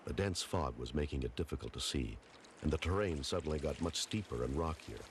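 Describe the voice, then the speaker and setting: A man with a very low voice narrates a dramatic television documentary. His delivery is scripted storytelling, with faint noise behind him. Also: animated, brisk.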